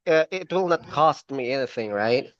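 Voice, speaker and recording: A man's voice: mid-range voice, lively intonation, moderate pace, highs cut off. A man playing the prospective client on a sales call, talking casually and hesitantly, often repeating words as he agrees. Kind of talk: casual phone conversation.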